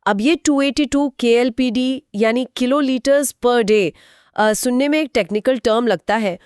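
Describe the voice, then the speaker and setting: A woman's voice: fairly high pitch, unhurried, darkish tone, smooth, no room sound, studio quality. A woman co-hosting a conversational explainer, speaking inquisitively and mixing English terms into her speech. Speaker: co-host of a financial explainer discussion.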